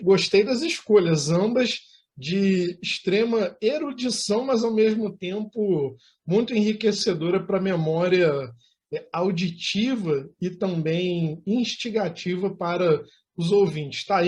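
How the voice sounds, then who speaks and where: High-pitched Brazilian man hosting an interview. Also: measured pace, darkish tone. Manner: formal, wordy phrasing. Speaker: interview host. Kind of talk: online interview.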